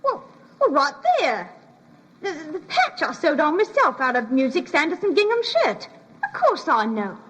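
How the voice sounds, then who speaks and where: An actress playing an excitable, plain-spoken housewife with folksy, exclamatory speech in a 1950s radio drama. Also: female voice, sits high, moderate pace, exaggerated voice. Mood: flustered. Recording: noisy, reverberant old radio drama recording.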